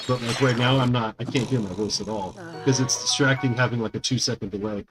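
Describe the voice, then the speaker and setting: A man's voice: mid-range voice, fairly even intonation, quick pace, over music. Rambling male game streamer. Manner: rambling, lots of fillers, friendly. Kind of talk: casual live-stream chat with viewers. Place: home streaming setup. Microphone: small condenser mic.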